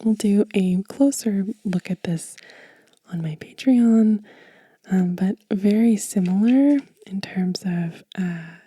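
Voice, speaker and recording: A soft-spoken woman doing an ASMR show and tell, whispering gently and without hurry close to the microphone. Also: mid-range voice, no room sound. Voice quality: breathy, whispery, hushed. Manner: gentle, unhurried. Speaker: ASMR creator and host.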